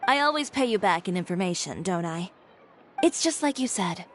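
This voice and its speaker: An actress playing a composed, proud dressmaker who talks calmly with dry, cutting sarcasm. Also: female voice, fairly low pitch, moderate pace, a little hiss or hum.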